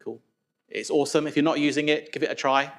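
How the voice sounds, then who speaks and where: A man speaks from the stage at a tech conference. His voice sits a little high for a man, and he keeps things informal, pausing on "um" and restarting his sentences as he goes. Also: steady tone, fairly bright tone. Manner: conversational, informal, with frequent fillers and restarts.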